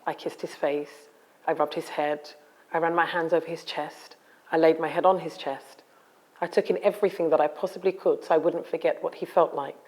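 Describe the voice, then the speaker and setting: Woman author, lowish voice, serious and measured, very articulate. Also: darkish tone. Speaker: author and guest. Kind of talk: reading a memoir aloud, then interview talk.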